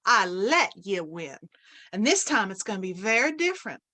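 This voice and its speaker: A woman's voice: mid-range voice, very animated, moderate pace, pushed and bright. A woman playing a competitive, defiant small-town housewife in an old-time radio western, emphatic and catty. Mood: accusing, defiant.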